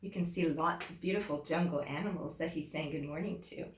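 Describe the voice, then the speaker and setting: A woman teacher reading a children's picture book aloud in an expressive, deliberate way, with emphatic phrasing for young listeners. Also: sits low. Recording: echoey room.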